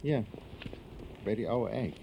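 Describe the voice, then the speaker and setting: An actor in a radio soap playing a puzzled, hesitant man with a halting, questioning manner. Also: fairly low pitch, lively intonation, gravelly, background noise, heavily acted. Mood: puzzled, curious. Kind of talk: 1990s Dutch radio soap acting.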